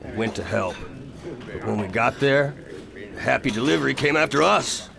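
A man playing a rough, plain-spoken merchant sea captain in a radio drama, telling things in folksy sailor dialect. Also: medium pitch, expressive, normal speed. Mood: wary recounting. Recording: busy crowd noise behind voice.

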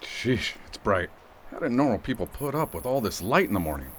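A man's voice: on the low side, big pitch swings, a little fast, fairly bright tone, a little hiss or hum. A man playing a kindly, self-deprecating sheriff in an audio drama, with a wry, sarcastic way of talking. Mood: startled, annoyed. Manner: wry, sarcastic, mumbled asides.

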